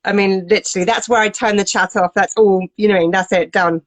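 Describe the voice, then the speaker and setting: A woman hosting a casual live stream, slightly croaky from a cold, friendly and quick to laugh. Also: mid-range voice, fairly even intonation, no room sound. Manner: friendly, laughs often, um-filled. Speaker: host. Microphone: phone or computer mic on a live stream.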